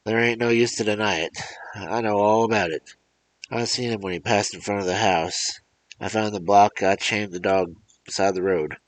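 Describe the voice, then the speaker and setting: A man doing an angry, yelling old country man. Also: low voice, expressive, moderate pace, rough recording. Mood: angry accusing. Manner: yelling, rough rural grammar.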